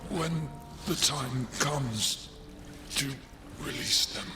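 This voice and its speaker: An actor playing a weary, despairing old soldier in a game cutscene, speaking in slow, halting, solemn, breathy phrases. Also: male voice, mid-range voice. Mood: solemn, urgent. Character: weary aged soldier, imprisoned thirty years, despairing. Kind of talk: dramatic video game cutscene acting. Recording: long reverb, loud music behind.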